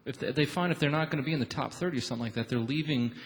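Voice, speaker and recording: A man's voice: mid-range voice, racing. A man working as a radio show host, interviewing guests on a theatre stage. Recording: echoey theatre hall.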